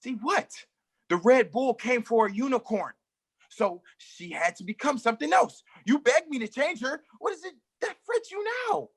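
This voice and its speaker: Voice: mid-range voice, animated, moderate pace, breathy edge. A performer reading a male wandering magician in a play table read, stammering and theatrical. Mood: defiant. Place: live reading at an art exhibition.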